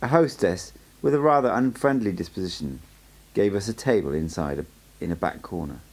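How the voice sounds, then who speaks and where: A man reading an audiobook aloud as an amateur narrator, in a steady storytelling way. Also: deep voice, a little fast. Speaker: audiobook reader.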